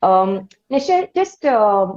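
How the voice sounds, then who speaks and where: Lady hosting an interview, picks her words slowly and wordily, kinda dull lofi audio. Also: medium pitch, sing-song, darkish tone. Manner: deliberate, wordy, halting phrasing. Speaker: interviewer, podcast host. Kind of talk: conversational interview.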